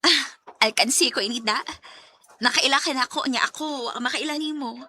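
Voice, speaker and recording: A woman's voice: high-pitched, fairly quick, close to an everyday voice. A woman playing an earnest, easily hurt young student in love in a sentimental radio drama, with a Filipino Bisaya accent. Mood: shy.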